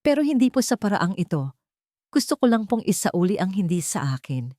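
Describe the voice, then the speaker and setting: A poor young woman of quiet dignity, played by a woman narrator in an audio drama. She is weary yet resolute, speaking politely and earnestly in a dry recording. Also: mid-range voice, sing-song, a little fast, no room sound, lightly acted. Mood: trembling, resolute.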